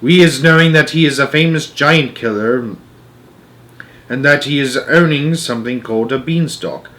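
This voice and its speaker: A man's voice: mid-range voice, moderate pace, fairly bright tone, natural voice. A man voicing a friendly, cautious storybook giant who explains things in quaint, garbled grammar. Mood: hushed explaining.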